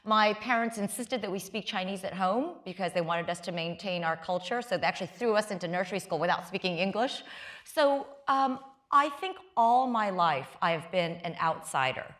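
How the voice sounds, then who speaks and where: A woman's voice: medium pitch, normal speed, fairly bright tone. Woman, middle-aged, from the Midwest, candid, self-deprecating, frequent restarts, stage interview, live audience. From United States, Midwest. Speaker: guest author and law professor. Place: talk recorded before a live audience.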